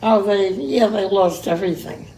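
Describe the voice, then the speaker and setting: A woman of about a hundred recalling her past in an interview, speaking slowly and reminiscing, hard of hearing. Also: medium pitch, warm tone, rough recording. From Cuba. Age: 100. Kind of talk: oral history interview.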